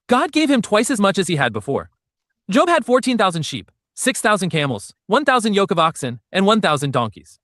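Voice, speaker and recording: Man, scripted narration, high and lively, dry close sound. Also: brisk, no echo. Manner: steady, storytelling read with numbered section headings.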